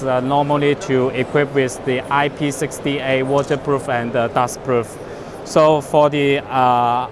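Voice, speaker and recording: A man who is not a native English speaker gives an unscripted product demonstration as a company representative, with halting phrasing and frequent uhs. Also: mid-range voice. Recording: clear urban or vehicle background noise.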